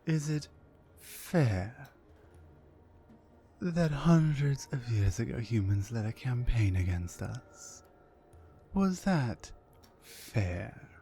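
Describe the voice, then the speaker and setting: A woman voicing a dominant, mean orc warrior woman in an ASMR roleplay, slow, commanding and condescending, with a low breathy voice that often nears a whisper. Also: sing-song, dark tone, exaggerated voice. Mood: bitter, menacing.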